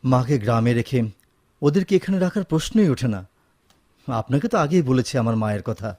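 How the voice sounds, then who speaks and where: A man's voice: medium pitch, animated, brisk, a little mellow, no echo, clean studio sound, lightly acted. A man with a Bangladeshi accent acting a dutiful, firm, educated officer in a Bengali radio drama. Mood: firm, polite.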